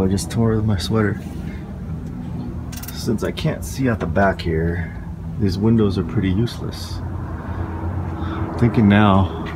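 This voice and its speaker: Deep-voiced stuffy man in windy lot. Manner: rambling, frank, stop-start. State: stuffy nose, sore throat. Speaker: van life vlogger. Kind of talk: casual vlog rant. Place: parked van outdoors, windy lot. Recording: outdoor wind and traffic noise.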